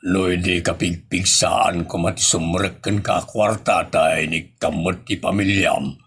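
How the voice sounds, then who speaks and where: A man's voice: low voice, fairly even intonation, measured pace, a bit bright, breathy edge, heavily acted. A man playing a broke, resigned friend in a comedy audio drama, drawling hesitantly and placatingly.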